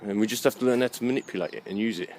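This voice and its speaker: A man giving a rambling, halting monologue as he walks outdoors, musing and questioning himself. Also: lowish voice, darkish tone, slight background noise. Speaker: vlogger. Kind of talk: rambling walk-and-talk monologue. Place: outdoors, walking along a muddy alleyway.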